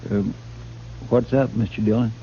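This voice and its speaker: A man's voice: fairly low pitch, fairly even intonation, moderate pace, warm tone, slight background noise, close to an everyday voice. Man playing a folksy deputy, muffled old recording. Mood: earnest, respectful. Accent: rural American. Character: folksy, dutiful male deputy, deferential and plain. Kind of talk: old-time radio western acting.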